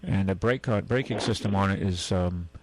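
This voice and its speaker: A man's voice: deep voice, normal speed, no room sound, low-quality recording. A man hosting a call-in radio show, giving folksy, rambling car advice and correcting himself as he goes.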